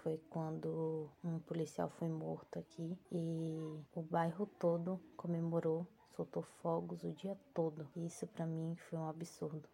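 A woman's voice: low voice, completely flat delivery, a little slow, creaky, few pauses, dark tone, slight background noise. A woman, telling her story in a plain, matter-of-fact voice message to a podcast. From Bahia, Brazil. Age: 20s. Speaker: listener sending a voice message. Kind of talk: recorded listener message.

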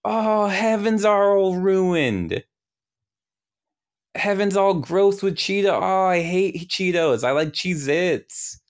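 High-pitched God, played by a man, whiny, petulant, slow drawn-out complaining, comic storytelling. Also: lively intonation, heavily acted. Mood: dismayed, whiny. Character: whiny, petulant God, dismayed at ruined heaven.

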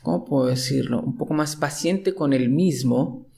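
A man's voice: mid-range voice, normal speed, gravelly, mellow, slight background noise. A young man from Chile with a Chilean accent, hosting a casual podcast conversation, hesitant and full of restarts. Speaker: podcast host.

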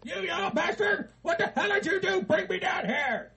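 A man doing a cursing hillbilly, very high. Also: animated, normal speed, vocal fry, exaggerated voice. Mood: ranting. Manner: foul-mouthed, yelling, laughing while ranting.

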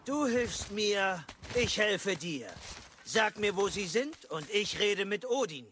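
Menacing high-voiced god. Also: male voice, lively intonation, slow, fairly bright tone, full character voice. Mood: cold, menacing. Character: menacing tattooed male god, coldly bargaining. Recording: noisy rumbling ambience behind.

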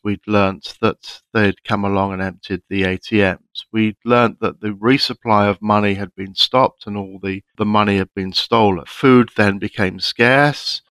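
A man who worked as an international monitor, telling his experiences in a measured, plainspoken way on a call. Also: fairly low pitch, fairly even intonation, fairly bright tone, clean tone, no room sound. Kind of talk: recounting experiences in conversation. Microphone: call microphone.

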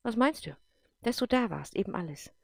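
A woman's voice: on the high side, expressive, brisk, creaky, a little mellow, no echo, clean studio sound, pitched above the performer's own voice. A woman reading aloud and voicing an affectionate young woman talking eagerly to her brother.

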